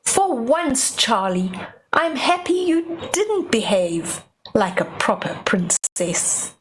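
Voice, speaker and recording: A woman's voice: a little high, big pitch swings, slow pace, slight background noise. A woman voicing a king and exasperated father in a children's storybook, in an exclamatory manner. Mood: grateful, relieved. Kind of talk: children's storybook read-aloud.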